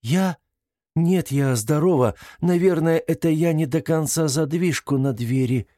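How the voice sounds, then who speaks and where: Man voicing an ashamed older woman, raised pitch. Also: dark tone, no echo, studio quality, natural voice. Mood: flustered, defensive. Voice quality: breaking, tearful voice. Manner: hesitant, halting, pleading. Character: ashamed, flustered older woman confessing under interrogation.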